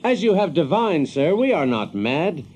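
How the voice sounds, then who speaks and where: A man's voice: a little high, sing-song, normal speed, a little mellow, a little hiss or hum. An actor playing a cocky, wry American adventurer, telling his story as dramatized first-person narration. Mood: polite, earnest.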